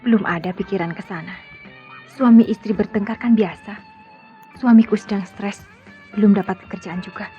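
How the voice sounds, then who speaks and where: A woman's voice: medium pitch, normal speed, long pauses, natural voice. A woman acting a married doctor in an old-style radio drama, guarded and curt as she deflects. The sound is dull and lo-fi, with music playing behind her.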